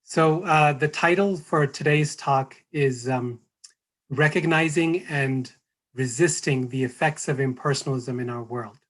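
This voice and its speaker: A hoarse middle-aged American man giving a spiritual talk, hesitant, with many fillers and restarts. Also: medium pitch, measured pace. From United States. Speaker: guest speaker.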